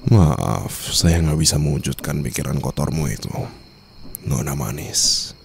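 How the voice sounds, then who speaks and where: A man playing a cold, domineering mafia boss and teasing new husband in an ASMR roleplay, speaking in a deliberate, menacing way. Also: very deep voice, expressive, creaky, slight background noise, exaggerated voice. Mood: teasing, smug. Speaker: roleplay voice performer.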